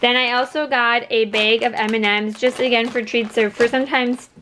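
High-voiced woman chatting, noisy recording. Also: little pitch movement, normal speed, pushed and bright. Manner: rambling, conversational. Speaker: vlogger. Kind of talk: casual chatty haul video talk. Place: indoors at home by a wooden table.

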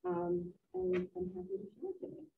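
A woman's voice: very high voice, level tone, normal speed, vocal fry, soft background music. A middle-aged woman from the Boston area, a university career services staffer, telling reflective stories on a panel. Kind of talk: casual panel discussion. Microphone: video call. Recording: dull video call audio.

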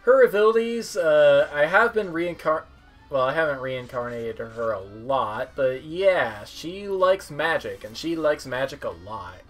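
A man's voice: fairly high pitch, lively intonation, a little slow. A young man giving casual, wry, rambling commentary on a video game. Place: indoor room at home. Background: game music.

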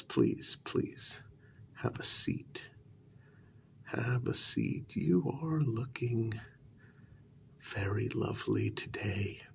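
A man playing a flustered, apologetic talent agent in an ASMR role-play, speaking softly in a breathy, gravelly half-whisper with hesitant phrasing. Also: lowish voice, slight background noise, full character voice. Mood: soft, courteous. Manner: slow, hesitant, halting. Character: apologetic, easily flustered male talent agent for supernatural creatures.

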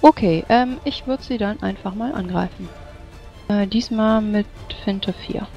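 A woman's voice: medium pitch, a little slow, creaky. A woman playing a tabletop role-playing game, casually describing what her character does in combat. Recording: dull lo-fi voice-chat sound, faint music.

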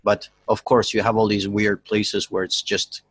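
A young man hosting a casual podcast chat from a small room at home, joking and animated as he talks. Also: lowish voice, normal speed, husky, no room sound. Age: young adult. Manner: joking, animated, laughs often. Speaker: podcast host.

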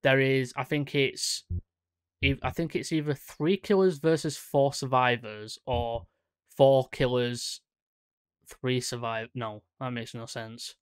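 Slow-talking young man, rambling commentary. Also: mid-range voice, steady tone, smooth, dry recording. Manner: rambling, self-correcting, many fillers. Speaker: horror channel host. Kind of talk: casual reaction commentary. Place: small room indoors at a desk. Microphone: studio mic on stand in front.

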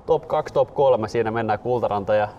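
A man's voice: on the low side, lo-fi sound, slight background noise. A man in his twenties hosting, moving between presenter-style announcements and casual chat. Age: 20s. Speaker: host.

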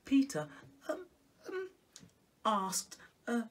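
A middle-aged man telling a children's story in a theatrical, expressive way. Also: very high voice, drawn-out words. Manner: theatrical, expressive, with hushed whispers and exclamations. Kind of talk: animated storybook reading. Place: small home music room indoors.

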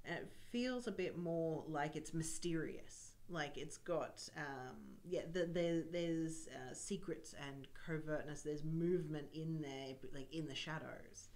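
Woman, 30s, low pitch; effusive interviewer. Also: unhurried, hardly any pauses, a little mellow. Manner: effusive, gushing praise with many fillers. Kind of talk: friendly interview. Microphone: table mic on a stand.

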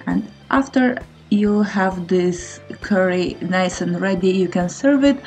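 A woman's voice: on the low side, slow, a little mellow, rough recording. A woman in her thirties giving a casual recipe voice-over as a cooking vlogger. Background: faint guitar music.

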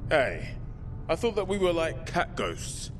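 A man's voice: fairly high pitch, wildly swooping pitch, unhurried, noisy background. An actor playing a cheeky, sardonic British male survivor in a video game, wisecracking in slangy, theatrical fashion. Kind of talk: video game cutscene voice acting. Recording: music behind the voice.

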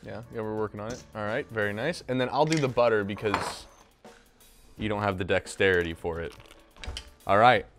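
A man's voice: on the low side, fairly quick, over music. Upbeat young man hosting a kitchen show. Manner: upbeat, chatty, talking to a silent mascot. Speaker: host. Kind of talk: casual cooking show. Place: home kitchen, indoors. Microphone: lapel mic.

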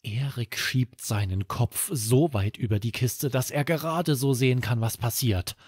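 A man's voice: medium pitch, unhurried, darkish tone, breathy edge, dry recording, clean studio sound. A man narrating a scripted bedtime story for children as the host.

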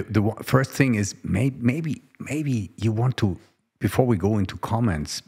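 Very deep-voiced man hosting a casual conversation. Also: a little mellow, breathy edge. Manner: halting, repeats words. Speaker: host. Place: home studio room. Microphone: close broadcast microphone on boom arm.

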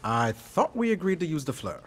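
A man's voice: fairly high pitch, big pitch swings, normal speed. A man playing a polite, eager young member of a crime crew in a video game cutscene. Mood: friendly, earnest.

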